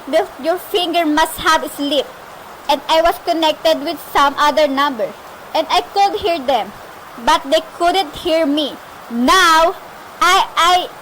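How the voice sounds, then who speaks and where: A woman's voice: high-pitched, fairly bright tone. A woman playing a frantic, imperious telephone caller in an amateur suspense radio play, melodramatic and insistent. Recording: noisy amateur recording with faint hiss.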